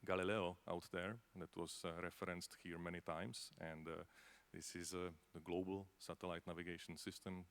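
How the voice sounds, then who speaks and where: A man with a Czech accent speaks in a very low voice on a conference panel. He talks loosely and chattily, often dropping in "uh" and "no?" as he goes. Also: little pitch movement, normal speed, hardly any pauses, a bit bright. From Czech Republic. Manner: conversational, many fillers, tag questions. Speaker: panelist from a space agency.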